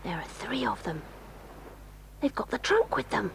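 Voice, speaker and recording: A woman's voice: on the high side, very animated, measured pace, creaky, slight background noise, heavily acted. A woman voicing a bold, tomboyish teenage girl in an audio drama, talking in eager, breathless whispers. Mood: hushed. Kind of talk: 1980s radio-style audio drama.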